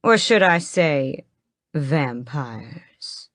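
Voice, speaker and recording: A sinister male vampire drawls each line slowly and with lazy mockery, voiced by a woman. His voice sits low in a dry, close sound. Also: expressive, a bit bright, no room sound, exaggerated voice. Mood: mocking. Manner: drawling, mocking, unhurried.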